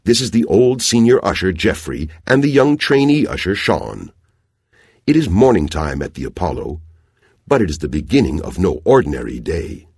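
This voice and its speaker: A reflective projectionist narrates a radio drama. His voice is very deep, yet moves expressively up and down, with measured storytelling phrasing. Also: big pitch swings, darkish tone, slightly rough, dry recording, clean studio sound, heavily acted. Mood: scene-setting.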